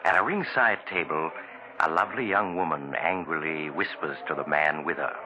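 Mysterious thriller narrator, low suave voice, deliberate theatrical delivery, muffled vintage radio sound. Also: male voice, a crowd in the background. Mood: suspenseful narration. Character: mysterious traveler, ominous genial male host of thriller tales. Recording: noisy, muffled 1940s radio recording.

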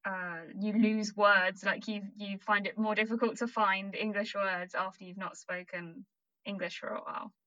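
A woman's voice: mid-range voice, fairly even intonation, moderate pace, pushed and bright. Young woman asking rambly questions, kinda muffled audio. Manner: friendly, curious, rambling questions. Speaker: interviewer. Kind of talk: casual interview.

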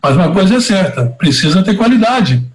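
High-pitched, echoey Brazilian man telling stories. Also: sing-song, fairly quick, crowd noise. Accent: Brazilian Portuguese. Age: middle-aged or older. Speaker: interview guest, veteran concert producer. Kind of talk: casual anecdotal storytelling. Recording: roomy, echoey sound.

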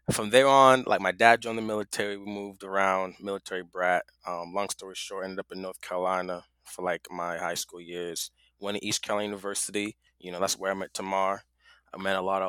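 A man's voice: sits low, a bit bright, clean tone, no echo. A young adult man from Nigeria, a podcast guest talking in a laid-back, chill way. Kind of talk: casual podcast chat.

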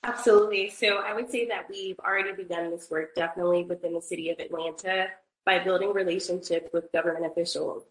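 Female panelist, slightly low pitch, roomy echoing call audio, explaining her organization's plans. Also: fairly even intonation, fast talker. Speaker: panelist, rideshare founder. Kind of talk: panel discussion answer.

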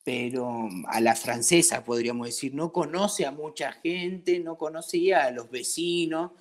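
A man's voice: fairly high pitch, measured pace. A man from Patagonia with an Argentine accent, chatting casually and colloquially as he tells stories and acts out bits of dialogue. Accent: Argentine Spanish. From Patagonia, southern Argentina. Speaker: interviewee, craft brewery owner. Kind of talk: casual conversational storytelling.

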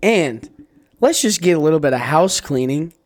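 A man co-hosting a sports podcast, hoarse and raspy from a sore throat, chatting in a laid-back way. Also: on the high side, animated, normal speed. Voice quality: raspy, losing his voice. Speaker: podcast co-host. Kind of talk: casual sports podcast chat.

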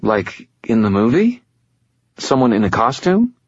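A man's voice: medium pitch, big pitch swings, a little slow, husky, voice pushed up. A man voicing a protective, worried young woman in an audiobook, earnest and soothing in manner. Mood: concerned. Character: protective, worried young woman comforting her injured friend. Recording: dull, roomy recording.

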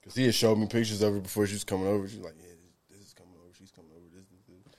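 A man's voice: fairly low pitch, flat delivery, very fast, a little mellow. A man hosting a podcast, telling stories in a laid-back, slangy way. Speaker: podcast host. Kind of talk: casual chat.

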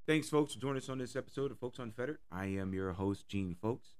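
Hoarse-voiced male podcast host. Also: mid-range voice, brisk, faint music behind. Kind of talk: casual interview.

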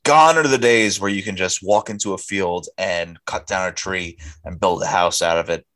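A man's voice: sits low, normal speed, fairly bright tone. A young man co-hosting a casual podcast chat, talking bluntly and grumbling, with frequent swearing. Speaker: podcast co-host.

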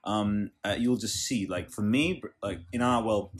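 Brief: male podcast host from Australia, enthusiastic, rambling, slightly low voice. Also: moderate pace. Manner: rambling, enthusiastic, many restarts and tangents. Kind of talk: casual interview chat.

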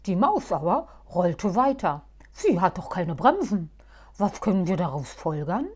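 Woman voicing a calm, stern gnome man. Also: lowish voice, husky, full character voice. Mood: calm explaining. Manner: measured and strict. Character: calm stern little male gnome driver.

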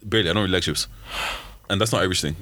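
A man's voice: low-pitched, rapid-fire, sharp tone, no echo. A man chatting casually and earnestly, filling his speech with lots of "like". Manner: earnest, hedging with many "like"s. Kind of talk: casual chat.

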